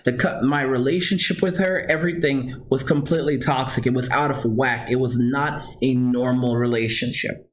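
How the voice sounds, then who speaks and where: A man's voice: mid-range voice, fairly even intonation, moderate pace. A man telling a personal story straight to his viewers, earnest and emphatic, repeating points and correcting himself as he goes. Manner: frequent restarts and self-corrections. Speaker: vlogger.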